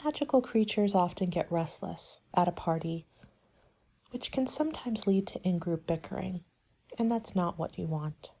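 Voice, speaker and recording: Soft, mellow woman in an ASMR roleplay. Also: lowish voice, normal speed, creaky, breathy edge. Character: helpful, attentive monster party planner. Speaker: roleplay performer as a party planning agent.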